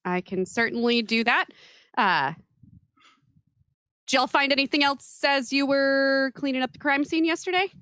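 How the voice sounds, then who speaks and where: A woman's voice: high voice, normal speed, long pauses, bright, forceful tone, no echo, clean studio sound. A woman playing a tabletop roleplaying game, chatting casually and speaking in character.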